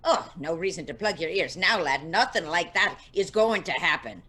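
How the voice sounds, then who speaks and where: A woman playing a sardonic, sharp-tongued older Irish wife with an Irish accent in an audio comedy drama. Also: lowish voice, expressive, moderate pace, husky, exaggerated voice.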